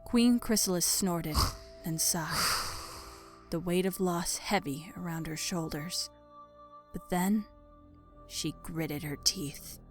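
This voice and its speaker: A woman reading scripted dark fiction as a narrator, measured and dramatic. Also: lowish voice, faint music behind.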